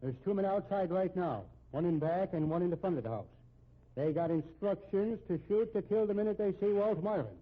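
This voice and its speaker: Policeman, folksy, talkative, high husky voice, old radio drama. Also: fairly quick. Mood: assured warning. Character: chatty, unworried Irish-American male police officer. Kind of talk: 1950s radio drama acting. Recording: muffled, tinny old radio recording.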